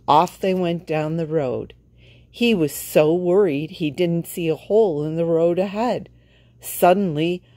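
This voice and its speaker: Low-pitched, unhurried woman reading a storybook. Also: mellow, dry recording. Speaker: storytime reader. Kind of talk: children's picture-book read-aloud.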